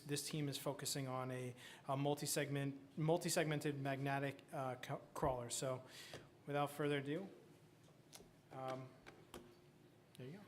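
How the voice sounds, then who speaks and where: A man emceeing, loose and quick. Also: on the high side, a little hiss or hum. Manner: loose and filler-heavy, rushing to keep things moving. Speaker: event emcee. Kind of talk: introducing presenters. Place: event hall.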